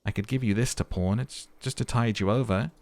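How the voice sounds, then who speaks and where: A man's voice: on the low side, brisk, a little mellow, dry recording, lightly acted. A man voicing a kindly, motherly old lady in a video game. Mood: concerned, tender. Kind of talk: role-played video game dialogue.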